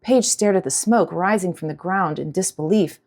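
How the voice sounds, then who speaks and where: A woman's voice: lowish voice, normal speed, darkish tone. A woman audiobook narrator reading a scripted story in a dramatic style.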